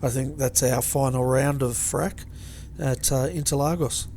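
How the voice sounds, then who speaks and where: An Australian man hosting an online racing broadcast, hoarse and croaky, talking in a relaxed, friendly way. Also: mid-range voice, unhurried. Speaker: host. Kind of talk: casual broadcast chat.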